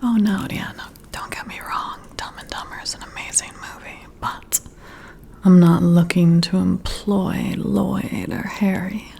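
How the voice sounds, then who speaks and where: Woman playing a slow, whispering flirty boss. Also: lowish voice, flat delivery, warm tone, heavily acted. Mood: wry, amused. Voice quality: breathy whisper. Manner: teasing, coy, deliberate, drawn-out phrasing. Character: flirty, confident female boss and former psychiatrist interviewing. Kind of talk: whispered ASMR roleplay.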